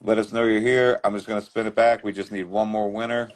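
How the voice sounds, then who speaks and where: Relaxed chatty man hosting a livestream. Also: fairly low pitch, fast talker. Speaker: livestream host.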